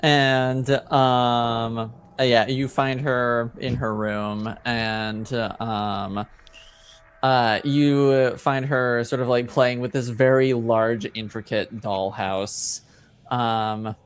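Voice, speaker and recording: A man's voice: medium pitch, level tone, very slow, smooth. A man acting as game master, narrating a tabletop game casually and hesitantly as he improvises.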